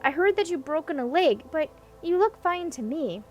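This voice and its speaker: A woman's voice: high voice, expressive, moderate pace, a little mellow, muffled recording, pitched above the performer's own voice. A woman voicing a well-meaning young prince in a storybook, earnest, polite and a little hesitant. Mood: worried, earnest.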